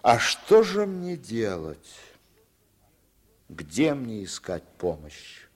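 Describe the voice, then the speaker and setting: A man acting a German deserter on the run, telling his story and pleading in low, hushed tones in an old radio play. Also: huge pitch swings, extremely slow, heavily acted. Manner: tense, hushed, dropping to whispers. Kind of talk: 1950s radio drama acting.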